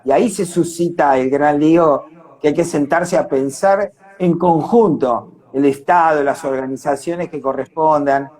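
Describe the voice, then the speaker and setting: An Argentine man with a slightly high voice speaks in a reflective live chat. He is earnest and discursive, developing his thoughts as he goes. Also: measured pace, darkish tone. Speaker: independent arts worker. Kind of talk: reflective live online conversation.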